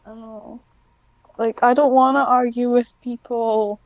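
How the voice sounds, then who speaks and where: A woman's voice: fairly high pitch, level tone, unhurried, dry recording, highs cut off. A woman chatting casually as an interview guest, in a hesitant, self-deprecating way. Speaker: guest, hobbyist audio script writer.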